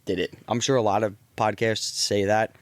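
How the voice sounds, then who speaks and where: A man's voice: on the low side, flat delivery, moderate pace, no room sound. A man co-hosting a podcast in easygoing, rambling chat, his throat sore and his voice going. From Delaware County, Pennsylvania. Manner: easygoing, rambling, self-deprecating. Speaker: podcast co-host. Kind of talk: casual chat.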